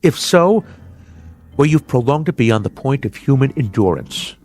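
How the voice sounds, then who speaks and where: An actor playing an indignant, pompous bus passenger in a radio drama, exasperated and precise. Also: male voice, medium pitch, sing-song, moderate pace, a little mellow. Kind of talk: vintage-style radio drama acting.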